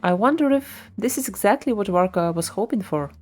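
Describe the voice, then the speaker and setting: A woman's voice: mid-range voice, animated, brisk, darkish tone. A woman streamer giving casual live commentary on a video game, musing aloud. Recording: game music behind the voice. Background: video game music.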